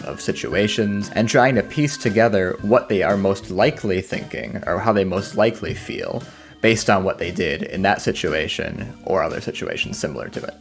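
A man speaking as a scientist being interviewed, explaining his work in a thoughtful way with carefully measured wording. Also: sits low.

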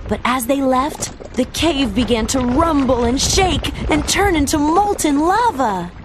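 A woman reading a children's storybook aloud as narrator, with expressive scripted storytelling delivery. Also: sits high, measured pace. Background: background music.

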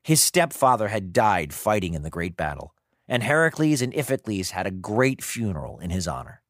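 A man's voice: on the low side, very animated, moderate pace, no room sound, clean studio sound. A man telling a scripted story for children in a conversational, dramatic way with wry, casual asides. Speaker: storyteller, podcast host.

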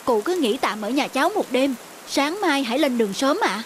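Woman voicing a gentle young peasant man. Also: sits high, lively intonation, moderate pace, slight background noise. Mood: polite gentle. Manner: polite and soft-spoken. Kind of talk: cartoon voice acting. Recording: clear background music.

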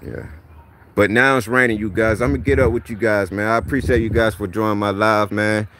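A man's voice: lowish voice, fairly even intonation, a little fast, fairly bright tone, a little hiss or hum. A man in his thirties talking casually on an outdoor livestream, rambling and repeating "man" as he gives motivational advice. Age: 30s. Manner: rambling, repeats "man" often, laid-back preachy. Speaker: livestream host. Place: outdoors in a backyard driveway.

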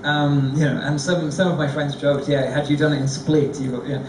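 A man on a discussion panel, telling a lively, self-deprecating story. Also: a little high, moderate pace, far from the mic, background noise. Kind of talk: anecdotal storytelling. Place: large theatre stage before an audience. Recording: echoey hall recorded from afar.

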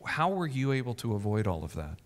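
A middle-aged man asking measured questions as interviewer on a church stage, speaking into a handheld mic. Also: medium pitch, very animated, in a large hall.

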